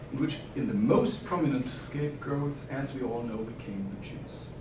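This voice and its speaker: A German-accented man gives a formal academic paper in a measured, pausing delivery. His voice sits at an ordinary middle pitch and carries through a roomy, echoing hall. He is picked up from some distance, with clear background noise under him. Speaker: academic conference speaker. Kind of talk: academic conference paper reading. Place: conference hall. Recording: echoey hall, distant mic, noisy.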